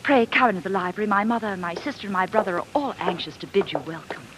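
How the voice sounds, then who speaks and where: An actress playing a gracious young eighteenth-century gentlewoman, speaking formally in 1940s radio drama style. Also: mid-range voice, animated, fast, lightly acted. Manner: courtly, formal, polite. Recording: vintage radio broadcast with clear noise.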